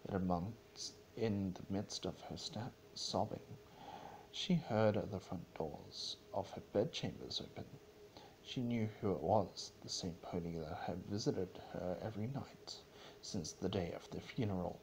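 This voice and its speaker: A man narrating a story as a dramatic reading, in a solemn and somewhat halting way. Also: low voice, creaky, darkish tone, slight background noise, poor audio.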